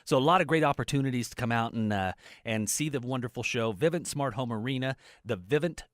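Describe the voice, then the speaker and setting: A man's voice: medium pitch, fast talker, no echo, clean studio sound. A middle-aged man hosting a radio interview, chatty and jokey, poking fun at himself. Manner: chatty, jokey, self-deprecating. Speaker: radio host.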